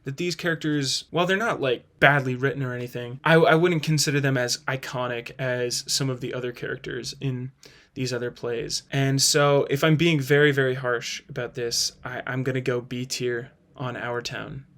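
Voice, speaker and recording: A man's voice: mid-range voice, fairly even intonation, moderate pace, fairly bright tone. A man hosting a casual video review, thinking aloud and hedging his opinions as he goes. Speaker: host.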